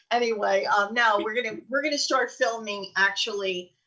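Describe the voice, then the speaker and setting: A woman's voice: medium pitch, a little slow, pushed and bright, muffled recording. An older woman co-hosting a show, talking in a chatty, effusive way in casual conversation. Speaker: podcast co-host and producer. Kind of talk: casual chat.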